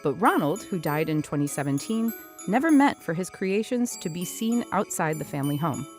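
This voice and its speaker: A woman's voice: fairly low pitch, very animated, normal speed, a little mellow, faint music behind. A woman news reporter reading a scripted feature narration.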